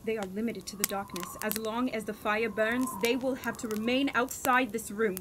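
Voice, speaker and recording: A woman's voice: fairly high pitch, steady tone, moderate pace, lightly acted. A woman playing a grave, fatalistic doctor in a horror radio drama, speaking earnestly and emphatically. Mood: grave warning. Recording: clearly noisy background ambience.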